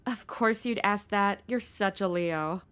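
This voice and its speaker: An actress playing a sassy, impatient modern twenty-something in a comedy audio drama, flippant and gossipy with plenty of slang. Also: female voice, medium pitch, unhurried, fairly bright tone, clean tone, close to an everyday voice. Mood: breezy.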